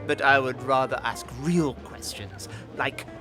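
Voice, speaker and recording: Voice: medium pitch, unhurried, close to an everyday voice. An actor playing a nervous, bookish older male scholar in a fantasy audio drama, hesitant, courteous and pedantic in formal phrasing. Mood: earnest, lecturing. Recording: music behind the voice. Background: music.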